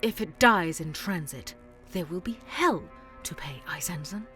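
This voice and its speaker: Actress doing a cruel alien queen, slow breathy whispery menace, lowish voice, scifi audio drama w music. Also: expressive, bright, forceful tone. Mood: cold menace.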